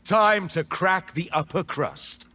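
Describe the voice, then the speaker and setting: A man playing a bitter, defiant rebel mage in a video game, speaking deliberately and dramatically. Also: sits high, pushed and bright, full character voice. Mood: grim defiance. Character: defiant adult male mage rebel, bitter, grimly intense. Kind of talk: video game character voice lines.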